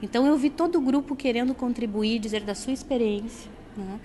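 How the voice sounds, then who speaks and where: A woman teacher answering interview questions in a thoughtful way, pausing with frequent fillers. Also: fairly high pitch, warm tone, slight background noise. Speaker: teacher, group facilitator.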